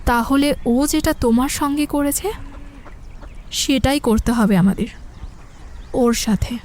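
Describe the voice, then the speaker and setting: A woman's voice: high-pitched, takes it slowly, darkish tone. An actress playing an earnest, concerned young village woman in an audio drama. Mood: resolute. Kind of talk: Bengali audio drama acting.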